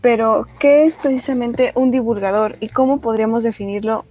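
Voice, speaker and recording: A woman's voice: fairly high pitch, steady tone, normal speed, a little mellow, faint music behind. A woman co-hosting a podcast, steadily moderating the questions. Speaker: podcast co-host. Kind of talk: podcast interview chat. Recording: distant, muffled lo-fi mic.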